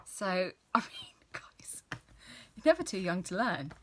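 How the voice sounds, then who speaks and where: A woman's voice: medium pitch, big pitch swings, slow, whispered. A British woman in her thirties, chatting casually and enthusiastically about books she has read, rambling a little and using many fillers. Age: 30s. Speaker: book vlogger. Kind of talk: casual book review chat.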